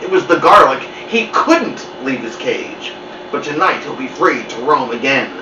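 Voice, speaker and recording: Bossy scheming cat for a storybook, played by a man: insistent, exasperated, animated pitch, distant muffled mic. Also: normal speed, roomy sound, background noise, natural voice. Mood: impatient. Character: bossy, impatient, scheming male house cat. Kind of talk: animated children's story reading.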